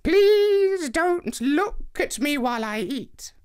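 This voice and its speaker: A man voicing a calm, patient, mysterious old woman in a children's story, speaking with a lilt. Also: very high-pitched, voice pushed up, heavily acted. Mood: polite. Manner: slow, lilting, patient.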